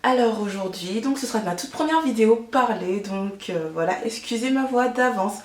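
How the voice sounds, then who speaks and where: A woman with a cold, talking casually to her viewers about beauty products she bought, her voice a little hoarse and low for her, with lots of fillers. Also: expressive, normal speed. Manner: chatty, many fillers and repeated "donc". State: congested, recovering from flu. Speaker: beauty vlogger. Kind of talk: casual beauty haul vlog. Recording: echoey room.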